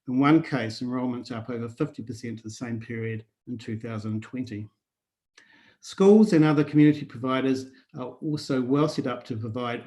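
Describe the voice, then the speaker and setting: A man from New giving a prepared talk at an online conference over a video call, in a steady, measured way. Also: medium pitch. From New Zealand. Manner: steady, measured delivery with listed points. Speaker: conference speaker.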